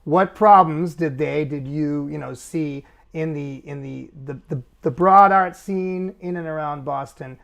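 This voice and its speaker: A middle-aged man with a gravelly, creaky voice asks rambling questions full of stops and restarts. He talks in a relaxed, conversational way. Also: on the high side, measured pace, few pauses. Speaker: interviewer, editor. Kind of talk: casual interview conversation.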